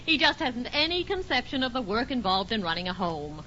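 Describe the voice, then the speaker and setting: An actress playing a put-upon but sensible middle-aged housewife in a 1940s radio sitcom, dryly teasing. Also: female voice, very animated, fairly quick, bright, forceful tone. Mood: exasperated. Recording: dull 1940s radio recording, faint rumbly noise.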